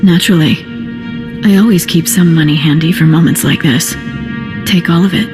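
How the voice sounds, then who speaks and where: A woman's voice: low-pitched, normal speed, close to an everyday voice. Woman doing a slippery lady making deals in a video game, conciliatory, echoing reverb, music under it. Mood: bargaining. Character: cornered, smooth-talking female schemer bargaining coolly.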